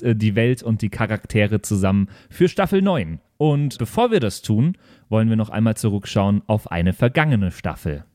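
Man, podcast host, medium pitch, casual chat, dry sound. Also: darkish tone, dry recording.

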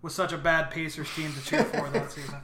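A man's voice: on the high side, brisk, fairly bright tone, slight background noise. An American man co-hosting a sports podcast, chatting casually and asking questions. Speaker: podcast co-host.

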